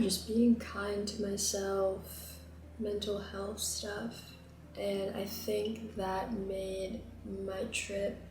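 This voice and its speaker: Young woman rambling while she cooks, slow easy pace, echoey kitchen, mic a bit far off. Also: medium pitch, background noise. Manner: chatty, rambling, lots of fillers. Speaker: vlogger. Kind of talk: casual chat while cooking. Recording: roomy kitchen, mic at a distance.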